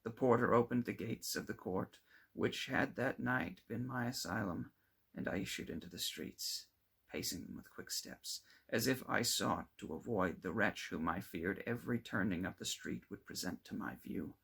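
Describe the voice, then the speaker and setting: A man reading classic literary fiction aloud as an amateur narrator, with dramatic, deliberate phrasing. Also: on the low side, creaky, a bit bright, slightly rough. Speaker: amateur audiobook reader.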